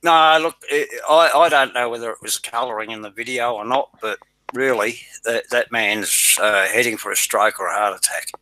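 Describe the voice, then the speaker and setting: A man's voice: mid-range voice, normal speed, sharp tone, dry recording, rough recording. A man, hosting a casual live chat in a blunt, mocking way, swearing freely. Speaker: channel host.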